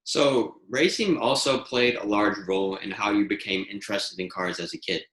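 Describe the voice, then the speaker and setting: A man's voice: lowish voice, moderate pace, fairly bright tone, roomy sound, poor audio. A man hosting a talk show, reading a prepared intro and asking questions in a methodical way. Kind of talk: scripted intro and interview questions.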